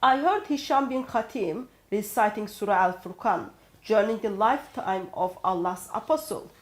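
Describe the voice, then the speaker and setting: A woman from Turkey speaks English with a Turkish accent, her voice at a middle pitch. She co-presents a discussion, often pausing and restarting mid-phrase. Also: animated. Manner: halting, with fillers and restarts. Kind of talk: studio discussion.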